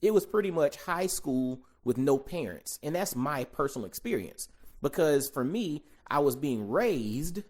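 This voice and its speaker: A man's voice: on the high side, expressive, darkish tone. Reflective man hosting a fathers' podcast. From Augusta, Georgia, US. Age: 40s. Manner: conversational, repeats key phrases, slows down to stress points. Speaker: podcast host. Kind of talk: reflective solo podcast monologue.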